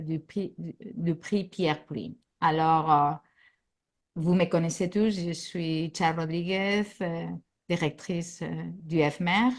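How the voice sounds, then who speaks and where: Slow, halting woman hosting a webinar. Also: lowish voice. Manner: hesitant, many fillers. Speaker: webinar host, program director. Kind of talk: live webinar introduction.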